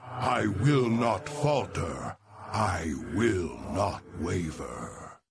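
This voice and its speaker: A man voicing an ancient, remorseful alien warrior-mystic in a video game, with a gravelly, breathy rasp and solemn, archaic, portentous delivery. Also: low-pitched, very animated, very slow, background noise, full character voice. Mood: resolute, solemn. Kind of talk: dramatic video game voice acting. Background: background music.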